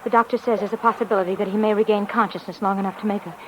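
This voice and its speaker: An actress playing an anxious, melodramatic wife in a 1940s radio drama. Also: female voice, mid-range voice, steady tone, rapid-fire, background noise, natural voice.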